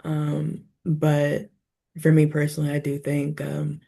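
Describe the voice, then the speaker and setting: A woman guest on a podcast, talking in a relaxed, easygoing way. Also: low voice, unhurried, creaky, slightly rough. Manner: relaxed, laughing easily. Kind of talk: casual podcast chat.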